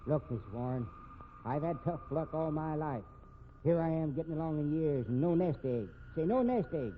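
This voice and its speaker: A man's voice: on the high side, expressive, normal speed, lightly acted. Guy doing sly older working man in old radio play, repeats himself, bad grammar, thin tinny sound. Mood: sly, wheedling. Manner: folksy, ungrammatical, repeats himself. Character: sly, grudging older working-class male blackmailer. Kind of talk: 1950s radio drama acting. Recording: tinny, noisy vintage radio recording.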